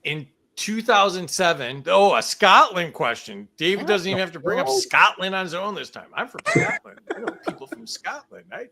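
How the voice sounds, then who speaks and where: A man's voice: high-pitched, huge pitch swings, normal speed, hardly any pauses, bright, forceful tone. A man hosting a casual online game show, joking, laughing often and reading out chat comments.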